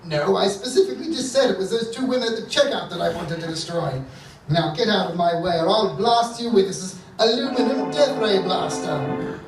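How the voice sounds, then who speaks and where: A man's voice: high voice, normal speed, music in the background. A man acting a blustering, petulant mad scientist villain in a comic stage radio serial, with theatrical exasperated asides. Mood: menacing bluster. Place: theatre stage before a live audience. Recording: echoey theatre stage from far off, faint noise.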